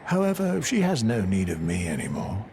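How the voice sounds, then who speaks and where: An actor playing an old, gentle, wistful sorcerer in a fantasy game, speaking in formal archaic phrases. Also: male voice, fairly low pitch, wildly swooping pitch, moderate pace, slight background noise. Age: older. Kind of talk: dramatic fantasy game acting.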